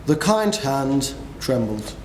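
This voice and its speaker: A man's voice: medium pitch, very animated, slow, a little mellow. A young man reading dramatic scripted narration of a story, as a student narrator. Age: young adult. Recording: echoey room with clear background noise.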